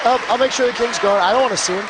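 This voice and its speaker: Hoarse, excitable man calling wrestling. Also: very high voice, racing. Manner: joking, excitable, rambling. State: hoarse from screaming. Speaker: wrestling color commentator, active wrestler. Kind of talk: live play-by-play commentary. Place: wrestling arena commentary desk. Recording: loud arena crowd and noise.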